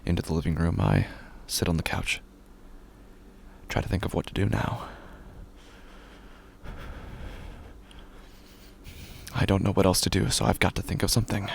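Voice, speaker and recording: An actor playing a grieving, haunted widower who is slowly unraveling, in a halting, brooding horror audio drama monologue with a gravelly, breathy voice. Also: male voice, low voice, slight background noise. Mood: numb, lost. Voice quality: gravelly, breathy, often dropping to a whisper. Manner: halting, brooding, with long pauses.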